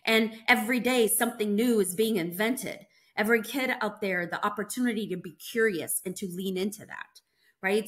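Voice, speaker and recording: A middle-aged woman whose first language is not English, talking animatedly as an interview guest, emphatic and reflective. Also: medium pitch. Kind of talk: conversational interview.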